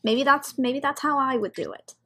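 High-voiced woman giving casual reactions. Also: moderate pace, pushed and bright. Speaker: reaction video host.

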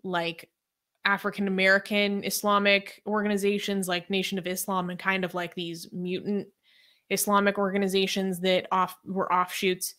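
Give me a young woman co-hosting, hesitant and chatty, with a bright tone. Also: mid-range voice, little pitch movement, moderate pace. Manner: hesitant, many ums and likes. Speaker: co-host. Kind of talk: casual news commentary.

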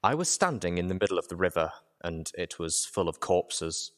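A man's voice: low voice, expressive, normal speed. A man reading a first-person story aloud as a dramatic audiobook narration.

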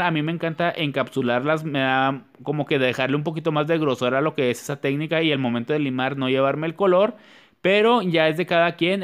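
A man talking viewers through a nail tutorial in a chatty, repetitive way. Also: on the high side. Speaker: nail artist. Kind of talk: casual nail tutorial voice-over.

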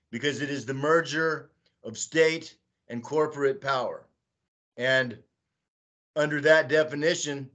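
An older man speaks slowly over a webcam from a small room at home. He rambles, leaning on "uh" and "you know" between thoughts, at an even middle pitch. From Texas, United States. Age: 60s. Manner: slow, rambling, with many "uh" fillers. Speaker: guest. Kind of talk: video call interview. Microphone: webcam on a video call.

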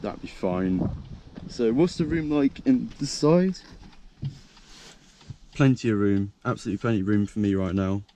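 A young man with a croaky, fairly low voice rambles casually, full of fillers and self-corrections. He is recorded on a handheld action camera, in and around a parked car, with faint outdoor ambience underneath. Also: normal speed, pauses often, warm tone, slight background noise, rough recording. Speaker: car vlogger. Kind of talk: casual point-of-view car walkaround. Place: parked car by a country lane, outdoors and inside the car. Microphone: action camera held by hand.

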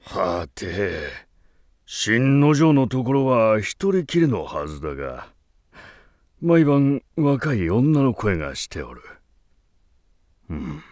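A man's voice: medium pitch, sing-song, takes it slowly, long pauses, darkish tone, no room sound, studio quality. An actor playing a wary, inquisitive old man in a radio drama, with solemn, archaic elderly speech. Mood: suspicious. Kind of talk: radio drama acting of a ghost tale.

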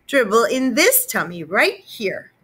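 A woman's voice: a little high, very animated, unhurried, fairly bright tone, breathy edge, voice pushed up, heavily acted. A woman voicing a gleeful, mischievous toddler boy in sing-song, babbling baby talk.

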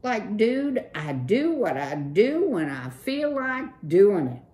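A woman's voice: mid-range voice, sing-song, slow, dark tone, voice pushed up. A woman voicing a cool, slang-talking young male city mouse with a laid-back, breezy drawl. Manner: laid-back surfer slang, keeps saying "dude".

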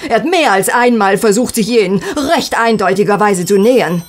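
A woman's voice: medium pitch, brisk, lightly acted. An actress playing a proper, protective middle-aged landlady in a radio play, speaking earnestly. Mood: disapproving. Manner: earnest, proper, narrating in detail. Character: proper, protective middle-aged mother running a boarding house. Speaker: actor in an audio drama. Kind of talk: German radio play dialogue.

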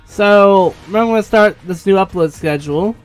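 A young American man with a notably high voice tells a story as a YouTuber would. His delivery is informal and rambling, with plenty of swearing thrown in. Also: normal speed, clean tone, faint music behind. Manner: rambling, profane. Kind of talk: casual storytime over gameplay.

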